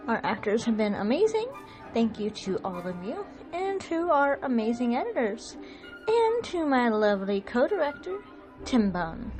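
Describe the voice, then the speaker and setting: A woman hosting, giving an introduction and recap for an audio production. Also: sits high. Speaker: host and co-director introducing the production. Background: music.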